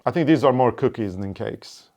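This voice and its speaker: A man co-hosting a knitting show, chatting in a relaxed way and explaining things step by step. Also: medium pitch, normal speed. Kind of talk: casual chat.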